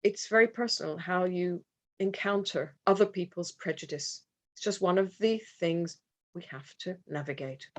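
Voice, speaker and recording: Earnest woman giving a conference talk. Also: lowish voice. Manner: earnest, reflective, with pauses and restarts. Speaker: conference speaker, parent. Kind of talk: presentation talk with slides. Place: conference hall.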